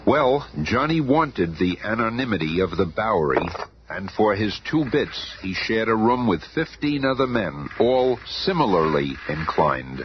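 An actor as a dramatic, wry narrator of a scripted superhero radio serial. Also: male voice, on the low side, very animated, unhurried. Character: dramatic, wry omniscient male story narrator. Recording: dull radio recording with faint noise.

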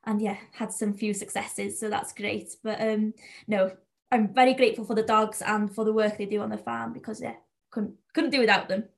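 A woman's voice: mid-range voice, flat delivery, moderate pace, gravelly. A twenty-year-old woman from North Wales with a North Welsh accent, a native Welsh speaker, chatting casually as an interview guest. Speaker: podcast guest, young sheep farmer and sheepdog handler.

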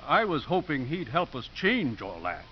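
A gentle old priest with very expressive, rising and falling speech. He is courteous and dryly funny in a 1950s radio play, on a dull recording with faint hiss. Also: fairly high pitch, moderate pace. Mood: gently wry.

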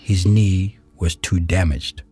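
A man reading scripted narration for an audio play in a measured storytelling way. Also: low voice, fairly even intonation, warm tone, husky. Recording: music behind the voice. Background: music.

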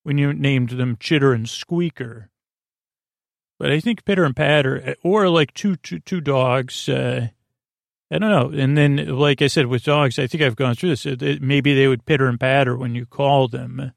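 A man hosting a bedtime podcast in a murmuring drone, meandering and halting as he stammers and repeats words. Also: mid-range voice, gravelly, no room sound, studio quality. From United States. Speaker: podcast host. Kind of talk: rambling bedtime podcast monologue.

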